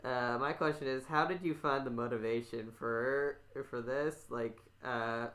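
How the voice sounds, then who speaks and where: Male podcast host, mid-pitched, casual and rambling, stop-start delivery with repeated phrases. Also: steady tone, measured pace, a bit bright.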